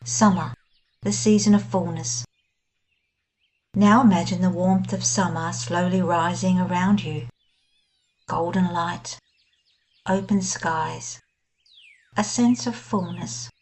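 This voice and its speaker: A woman guiding a scripted meditation in a gentle, soothing, unhurried manner. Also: on the low side, fairly even intonation, low-quality recording. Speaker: meditation guide. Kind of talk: scripted guided meditation narration.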